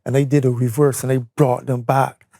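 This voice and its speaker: Barbadian man, seventies, creaky mellow voice, close dry studio sound, unhurried reminiscence. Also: mid-range voice, little pitch movement, dry recording. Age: 70s. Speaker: interview guest, retired or veteran educator. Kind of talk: conversational reminiscence.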